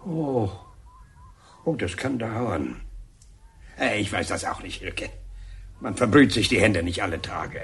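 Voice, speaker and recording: An actor playing a gruff, stubborn but kindly painter in a radio drama, speaking in terse, muttering phrases. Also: male voice, fairly low pitch, animated, slow pace, long pauses, fairly bright tone, heavily acted. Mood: evasive, irritable. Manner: terse, muttering, hesitant interjections. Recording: background noise, scene ambience. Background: ambient noise.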